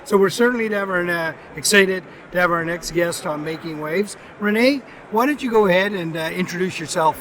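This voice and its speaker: A man hosting a podcast, speaking in a halting way with frequent fillers. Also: high voice, fairly bright tone. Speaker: podcast host. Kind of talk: casual podcast interview.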